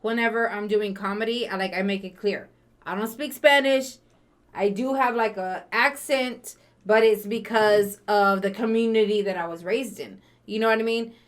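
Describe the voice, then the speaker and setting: A woman's voice: mid-range voice, moderate pace. A young woman hosting a casual, playful interview and teasing her guest. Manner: playful, teasing, laughs often. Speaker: host. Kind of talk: casual tipsy interview chat.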